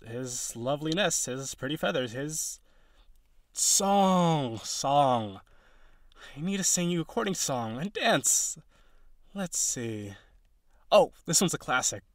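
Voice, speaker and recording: A young male harpy suitor, acted by a man in an audio roleplay, with a slightly high voice recorded close and dry. He is awkward and insecure, rambling earnestly as he pleads his case straight to the listener. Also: expressive, slow pace, long pauses, no room sound. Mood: anxious showing off.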